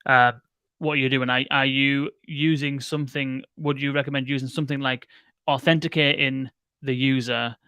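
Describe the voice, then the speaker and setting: A man's voice: medium pitch, sharp tone, clean tone, dry recording. A man hosting a podcast interview, thinking aloud as he builds long questions. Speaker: podcast host.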